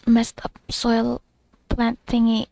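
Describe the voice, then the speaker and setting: Female gameplay commentator, casual and reactive, slightly high creaky voice over game music. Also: monotone, slow pace, background noise. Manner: reactive, musing aloud. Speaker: gamer playing a story quest.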